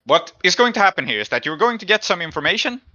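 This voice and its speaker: Bright, sharp-voiced man as game master. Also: high voice, very animated, fast talker, lo-fi sound. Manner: conversational, explanatory. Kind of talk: narrating and adjudicating a tabletop roleplaying game.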